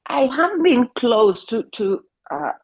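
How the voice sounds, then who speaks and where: A woman's voice: a little high, big pitch swings, takes it slowly, darkish tone, husky. Earnest woman with a Mexican accent. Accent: Mexican Spanish. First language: Spanish. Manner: earnest, many restarts and fillers. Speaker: family therapist. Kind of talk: interview conversation.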